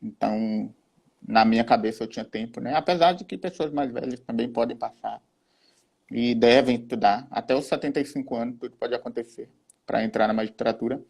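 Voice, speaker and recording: A man's voice: medium pitch, fairly quick, creaky, a little mellow, breathy edge. A Brazilian man in his twenties, a guest in an interview, speaking earnestly and with determination. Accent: Brazilian Portuguese. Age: 20s. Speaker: guest, newly approved judge. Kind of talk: conversational interview.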